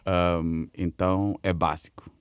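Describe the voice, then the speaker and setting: Man, low dry voice. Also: slow, clean tone, no echo. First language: Dutch. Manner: relaxed, laughing, mixes in English phrases. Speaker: guest singer. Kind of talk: casual radio interview. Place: radio studio. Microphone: studio mic with headphones.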